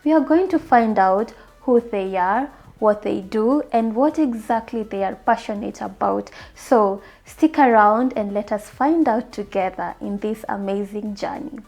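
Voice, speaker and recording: A woman hosting an interview, asking questions briskly and prompting with encouraging mm-hmms. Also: medium pitch, a little mellow, faint music behind. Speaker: host.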